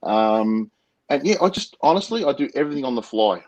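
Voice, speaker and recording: A man with an Australian accent, a guest on a chat show, speaking with a loud, projecting voice in an enthusiastic, spontaneous way. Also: mid-range voice, animated, brisk, muffled recording. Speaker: guest, motovlogger. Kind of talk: casual interview chat.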